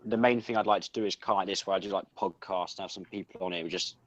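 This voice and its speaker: A man's voice: low-pitched, steady tone, very fast, fairly bright tone, no echo. Laid-back young man on a dull mic. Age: young adult. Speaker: guest, college student. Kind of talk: casual podcast chat.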